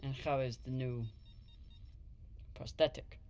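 An actor playing an easygoing, considerate man on a spaceship crew, talking casually and with gentle teasing in a video game. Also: medium pitch, steady tone, takes it slowly. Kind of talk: naturalistic video game acting.

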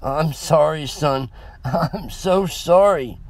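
Man acting a whimpering older man, pitched up. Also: slow. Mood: whimpering, sorry. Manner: tearful, whimpering.

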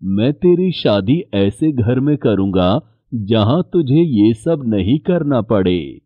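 Brief: calm cartoon father, slow and expressive, dry, dull-sounding recording. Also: medium pitch, no room sound. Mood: warm. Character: gentle middle-aged male bangle maker father.

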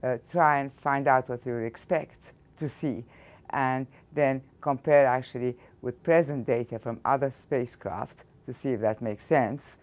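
A woman's voice: a bass voice, steady tone, unhurried, a little mellow. An older woman from Egypt with an Egyptian accent, answering interview questions as a physics professor in a thoughtful way, often restarting her sentences. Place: studio interview against a backdrop.

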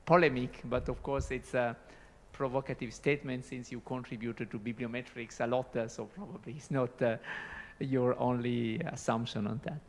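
A man's voice: mid-range voice, level tone, moderate pace. Man with a mic in an echoey hall. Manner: hesitant, repeats words, non-native phrasing. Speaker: audience member asking a question. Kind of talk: conference Q&A comment. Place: large lecture hall. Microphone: handheld microphone.

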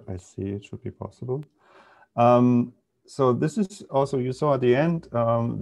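A middle-aged man with a German accent giving an informal academic talk over a video call, haltingly thinking aloud. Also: medium pitch, vocal fry, a little mellow. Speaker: presenter, media artist and professor. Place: indoor room on a video call.

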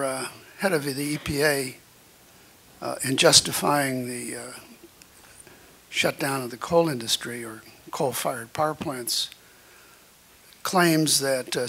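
An older man from the audience asks a question very slowly, halting on fillers as he goes. His voice is gravelly and husky, with a bright edge, and he speaks into a stand mic in a conference room. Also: mid-range voice. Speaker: audience member. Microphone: floor-standing mic.

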